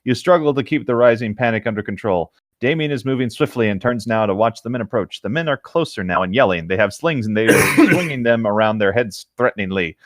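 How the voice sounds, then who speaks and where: Male co-host, mid pitch with animated delivery, amused and playful, reading amateur fiction aloud with casual comments. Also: brisk, few pauses, no echo.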